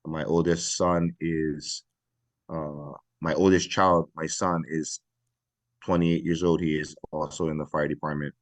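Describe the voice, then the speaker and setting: Man, middle-aged, from Hawaii, retired fire chief, earnest, pauses often, dry close sound. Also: a bass voice, flat delivery, no room sound. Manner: earnest, reflective, many pauses and fillers. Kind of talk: podcast interview.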